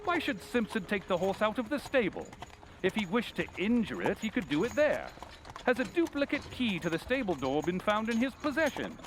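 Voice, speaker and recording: A man playing a sharp, confident, analytical gentleman detective in old-time radio drama style, with formal, precise questioning. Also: high-pitched, very animated, moderate pace. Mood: probing. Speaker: community theatre actor. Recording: faint background noise.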